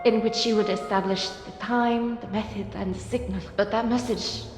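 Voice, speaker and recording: A wistful girl from France played by a woman recounts her past in a measured, literary manner. Her mid-range voice carries an animated game's storytelling, with faint music behind it. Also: medium pitch, lightly acted. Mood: reflective. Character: wistful French girl telling of her lost friend. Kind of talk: dramatic storytelling in an animated game cutscene.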